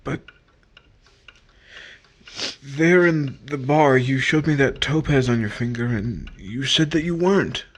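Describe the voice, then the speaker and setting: A man playing a lovestruck, unhappily married man in a 1950s-style radio drama, earnest and halting, with a husky voice. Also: mid-range voice. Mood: stunned.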